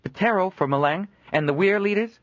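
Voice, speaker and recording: A man's voice: sits high, a little fast, no room sound, dull audio, voice pushed up, lightly acted. A man voicing an eager young fellow who speaks up for his friend.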